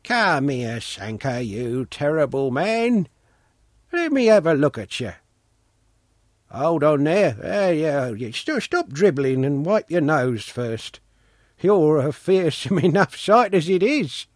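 A man's voice: a little high, very animated, slow, dry recording, studio quality, pitched above the performer's own voice, full character voice. A man voicing a mocking but kindly old village watchman in a storybook, talking in a leisurely, teasing way. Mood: mocking, bossy. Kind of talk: storybook character reading.

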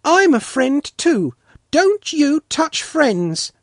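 A man's voice: very high voice, very animated, very slow, creaky, no room sound, studio quality, voice pushed up, exaggerated voice. A man voicing an earnest, bold little girl with a ringing voice in a storybook. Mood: friendly, ringing. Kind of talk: storybook character reading.

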